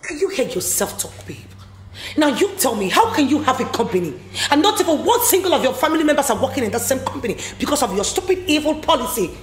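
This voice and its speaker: Woman playing a fed-up wife scolding her husband, high voice, all over the place, echoey. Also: big pitch swings, quick pace. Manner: scolding, incredulous. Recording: echoey room.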